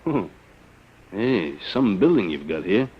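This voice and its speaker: An actor playing a blustering, skeptical middle-aged businessman and family man in an old radio drama, excitable and sardonic. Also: on the low side, slow pace, slightly rough, exaggerated voice. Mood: skeptical, amused. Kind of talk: 1950s radio drama acting. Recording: dull old radio recording.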